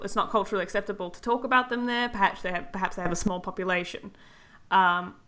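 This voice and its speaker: A woman co-hosting a podcast, animated and giggly, thinking out loud as she talks. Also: medium pitch. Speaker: podcast co-host. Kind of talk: casual podcast chat.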